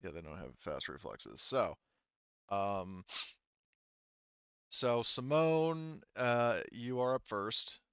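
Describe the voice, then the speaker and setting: Male game master, smooth low voice, casual and halting delivery, close dry lo-fi sound. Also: animated, fairly bright tone, dry recording. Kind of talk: running a tabletop roleplaying game, casual chat.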